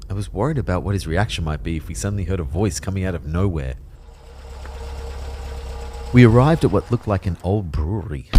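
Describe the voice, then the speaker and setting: A man's voice: sits low, mellow. A man playing an anxious, introspective man who narrates his own story in a reflective, wry way for an audio drama. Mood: wry, uneasy. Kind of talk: first-person scripted audio drama narration.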